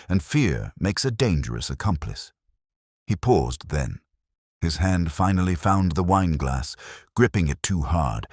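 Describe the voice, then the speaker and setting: Very deep, husky male narrator. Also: no echo, clean studio sound. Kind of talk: first-person scripted detective story narration.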